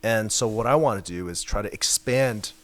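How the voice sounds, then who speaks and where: A man's voice: fairly low pitch, moderate pace. A young man who grew up in China, talking casually and thoughtfully about his path as a founder. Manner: relaxed, reflective, uses many fillers. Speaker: hacker house founder. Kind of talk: casual interview chat. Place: house in Waterloo.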